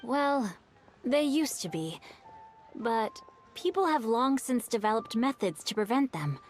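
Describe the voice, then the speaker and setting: A woman voicing a courteous, well-read young male swordsman who tells an old tale in a measured way, in video game dialogue. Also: a little high, big pitch swings, soft background music. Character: bookish young male swordsman, polite and thoughtful.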